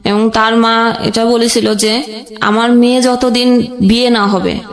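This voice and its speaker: A young woman with a Bangladeshi accent telling her own life story conversationally, in a halting, plain way with frequent rephrasing. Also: a little high, flat delivery. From Jashore region, Bangladesh. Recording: roomy echoing sound.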